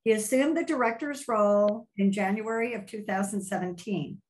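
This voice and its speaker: An older woman hosting a video call, reading out a measured introduction of a guest speaker. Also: medium pitch, low-quality recording. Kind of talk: read-out introduction.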